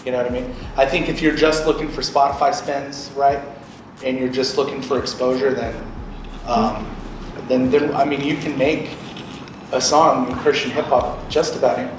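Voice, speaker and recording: A man's voice: mid-range voice, steady tone, normal speed. A man in his late thirties giving an informal instructional talk to a group, explaining conversationally and checking in with short questions. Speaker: radio programmer, guest speaker. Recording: echoey room, distant mic, loud background noise.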